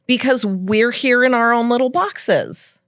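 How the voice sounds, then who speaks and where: A woman's voice: fairly high pitch, a little slow, no room sound, clean studio sound. A woman from Hawaii hosting a podcast, chatting casually, giving short affirmations and laughing readily. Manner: warm listener, brief affirmations, laughs readily. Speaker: podcast host. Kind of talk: casual interview chat.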